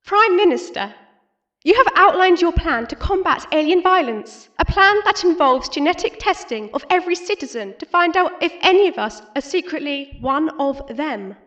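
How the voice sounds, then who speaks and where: Opposition politician, very high voice, formal pointed rhetoric, principled and cutting, radio drama, slightly lo-fi. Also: female voice, expressive, normal speed, a bit bright. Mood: cutting, earnest. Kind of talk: audio drama parliamentary debate.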